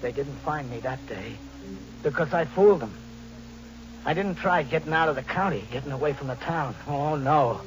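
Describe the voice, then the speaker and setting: An actor playing a hunted, vengeful drifter in an old radio thriller, talking in tough slang with melodramatic, haunted delivery. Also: male voice, a little high, normal speed, loud music behind the voice, close to an everyday voice. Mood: grim, wary. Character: hunted, desperate, vengeful male drifter on the run. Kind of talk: 1940s radio thriller acting with first-person narration. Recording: noisy, muffled old radio recording with hum.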